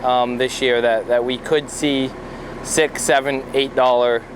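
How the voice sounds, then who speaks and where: A man of medium pitch speaks conversationally, as a small business owner being interviewed. The location sound is clearly noisy. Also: level tone, a little slow.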